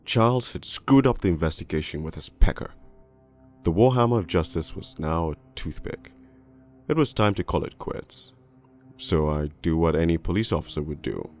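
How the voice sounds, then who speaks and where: A man plays a hard-boiled, corrupt detective narrating a noir storybook. The voice is extremely low and thick with gravel, brooding and deliberate in its cynicism. Music runs clearly behind it. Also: a little mellow. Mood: grim.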